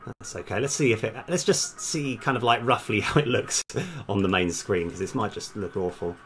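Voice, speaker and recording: A man with a low voice talks himself through code on a casual livestream. He mutters terms in fragments as he works, close to a desk microphone. Clear music plays behind him along with keyboard typing. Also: normal speed, fairly bright tone. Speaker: game developer live-streaming his coding. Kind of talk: casual coding livestream, thinking aloud. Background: background music, computer keyboard typing.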